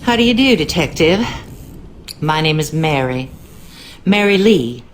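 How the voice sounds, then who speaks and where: A woman's voice: low voice, very animated, unhurried, slight background noise. An actress playing a folksy, gossipy cleaning woman, talking confidingly in a game monologue. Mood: welcoming.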